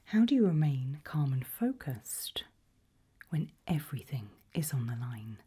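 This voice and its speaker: A woman in an ASMR roleplay voicing a polite, quietly menacing HR interviewer in a breathy, deliberate whisper. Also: very deep voice, sing-song, exaggerated voice. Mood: calm, probing. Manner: slow, deliberate, softly spoken.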